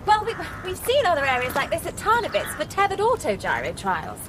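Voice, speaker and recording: An eager young-sounding scientist in a wartime radio drama. Her voice is very high and animated, hesitant yet scholarly, with faint outdoor noise behind it. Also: fairly quick. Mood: thoughtful. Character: earnest, eager British woman aeronautics expert in wartime.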